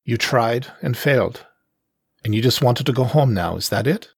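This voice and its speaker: A man's voice: medium pitch, expressive, moderate pace, husky, no room sound, studio quality, pitched above the performer's own voice. Man voicing a mocking, menacing male panther gang leader. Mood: mock gentle. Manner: mocking, theatrical, falsely gentle.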